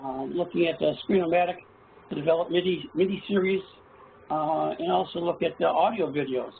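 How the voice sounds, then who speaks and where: A middle-aged hoarse man, a college instructor, presenting haltingly with many fillers in an online workshop. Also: fairly high pitch. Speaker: college instructor presenting his action plan. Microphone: video call. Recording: tinny, phone-like call audio with faint noise.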